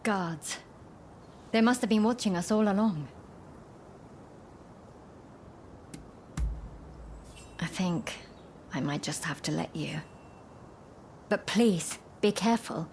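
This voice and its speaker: A compassionate woman doctor in a video game, as an actress plays her, resolute and courteous. Her pitch is medium and she sounds earnest, with faint noise behind. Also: a little slow, long pauses, darkish tone, close to an everyday voice. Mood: cautious.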